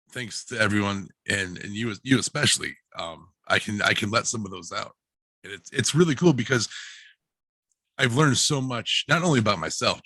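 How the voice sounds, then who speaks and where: A middle-aged man telling stories in casual chat, with an animated, colloquial way of talking. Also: fairly low pitch, dry recording. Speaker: panel guest.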